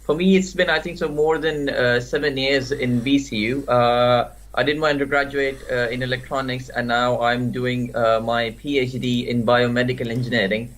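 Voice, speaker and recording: A man speaks on a video-call panel about his engineering studies. His pitch is in the middle range. He talks haltingly and fills many gaps. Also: fairly even intonation, fairly bright tone. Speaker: PhD student. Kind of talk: panel self-introduction.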